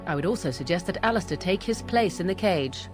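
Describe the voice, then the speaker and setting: Young wild witch voiced by a woman for game drama: lowish, disdainful, formal, sarcastic, over faint music. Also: expressive, fairly quick, natural voice. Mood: cool, cutting.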